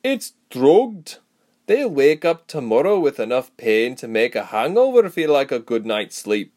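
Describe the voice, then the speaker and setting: A man's voice: medium pitch, big pitch swings, measured pace, a little mellow, pitched above the performer's own voice. A man voicing a tough, fearless woman of a fantasy storybook, with a brash, folksy rustic way of talking and a wry edge. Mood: matter-of-fact. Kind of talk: audiobook character voice.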